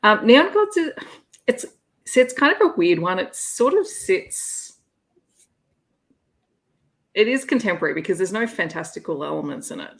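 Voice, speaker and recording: A woman's voice: medium pitch, sing-song, normal speed, long pauses. A woman hosting an online readalong, chatting casually about books. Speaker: host of an online readalong livestream. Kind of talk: casual livestream chat about romance books.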